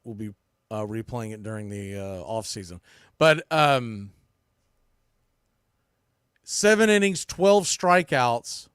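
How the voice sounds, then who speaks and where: A man's voice: mid-range voice, a bit bright, clean tone, no room sound, clean studio sound. A man with an American accent giving blunt, emphatic, opinionated baseball commentary as a sports podcast host. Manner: blunt, emphatic, mildly salty language.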